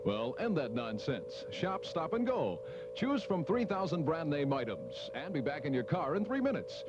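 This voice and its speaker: A male announcer delivers a seventies radio commercial with swooping, animated inflection. His voice sits fairly high and poses questions conversationally, like a sales pitch. Loud music plays behind him. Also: normal speed, highs cut off. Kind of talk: 1970s radio commercial read.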